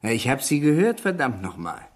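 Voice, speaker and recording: Older male villager, radio crime play. Husky voice, nosy, chummy and insinuating gossip. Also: mid-range voice, huge pitch swings. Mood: gossipy, indignant. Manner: conspiratorial, insinuating, drawn-out hints. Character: nosy, gossipy old village man, sly busybody. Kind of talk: German radio crime drama acting.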